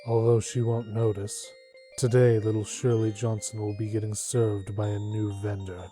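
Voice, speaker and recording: A man narrating a dark comic dub as a sombre storyteller, in a subdued, measured manner. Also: fairly low pitch, flat delivery, faint music behind, lightly acted. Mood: ominous.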